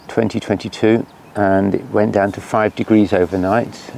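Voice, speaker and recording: A man talking casually to the camera from a lakeside campsite, unhurried and hesitant, with many fillers. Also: fairly low pitch, creaky, dark tone, slight background noise. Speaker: cycling vlogger. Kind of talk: casual outdoor vlog. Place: lakeshore campsite outdoors by mountains. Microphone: camera at a distance.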